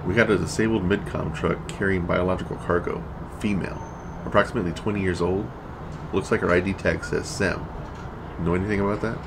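A man playing a gruff, commanding checkpoint captain in an audio drama, short-tempered and curt in military phrasing. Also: low-pitched, steady tone, a little fast. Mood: exasperated. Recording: noisy vehicle and outdoor ambience behind.

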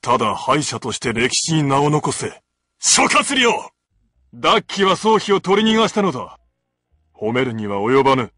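A man's voice: mid-range voice, big pitch swings, normal speed, pushed and bright, no room sound, clean studio sound. An actor playing a haughty, hot-tempered scheming strategist of ancient China in a video game, contemptuous and pompous as he scolds. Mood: furious, mocking. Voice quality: theatrical, swinging from sneer to shriek. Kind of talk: theatrical video game voice acting. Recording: game music behind the lines.

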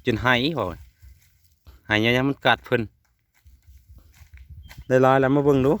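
A man's voice: mid-range voice, fairly even intonation, a little slow, fairly bright tone. A man with an Isan Thai accent chatting casually and in a folksy way to his viewers while filming outdoors in the countryside. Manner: often addresses viewers in a folksy way. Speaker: vlogger filming a family outing. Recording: noisy outdoor rural recording.

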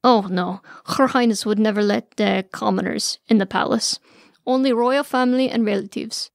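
A woman's voice: fairly high pitch, normal speed, vocal fry, no echo, clean studio sound. A woman voicing a kindly old Russian maidservant. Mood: wry, advising. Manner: broken English, plain-spoken.

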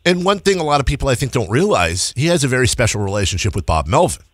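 A man's voice: mid-range voice, very animated, quick pace, breathy edge, dry recording, studio quality. A man in his thirties hosting a sports radio interview, effusive and repeating words as he builds his thoughts. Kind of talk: casual radio interview chat. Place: small padded radio studio. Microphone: close studio broadcast mic.

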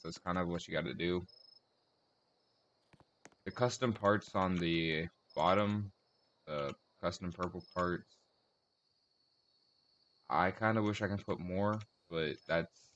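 A man giving casual video commentary as host of a car tour, speaking in halting short phrases and correcting himself. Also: deep voice, lo-fi sound, slight background noise.

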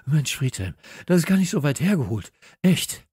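A man's voice: mid-range voice, animated, brisk, warm tone, slightly rough, dry recording, clean studio sound, pitched above the performer's own voice. A man voicing an agitated, emphatic boy in a children's audiobook. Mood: excited. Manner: agitated, emphatic, screechy when challenged. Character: excitable boy insisting on a burglary.

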